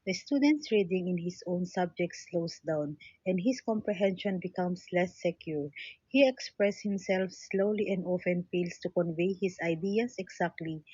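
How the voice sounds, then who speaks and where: A woman's voice: lowish voice, a little mellow, breathy edge, no echo, dull audio. A woman reading a scripted practice passage aloud. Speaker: exam passage reader. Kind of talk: scripted read-aloud narration.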